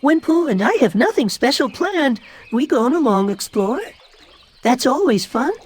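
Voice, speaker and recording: A man's voice: extremely high pitch, big pitch swings, normal speed, husky, pitched above the performer's own voice, heavily acted. A man voicing a very small, timid, eager piglet with a thin little voice in a children's storybook. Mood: innocent. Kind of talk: children's storybook read-aloud.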